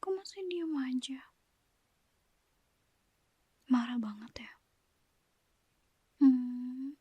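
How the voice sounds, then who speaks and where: Woman, soft coaxing whisper, slow, dry close mic. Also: sits high, lively intonation, slightly rough, dry recording. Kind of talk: whispered ASMR girlfriend roleplay.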